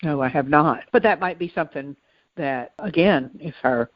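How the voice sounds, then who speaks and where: A woman's voice: deep voice, normal speed, dry recording, dull audio. A woman co-hosting a podcast, chatting casually in a musing, agreeable and somewhat hesitant way. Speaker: podcast co-host. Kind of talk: casual conversational discussion.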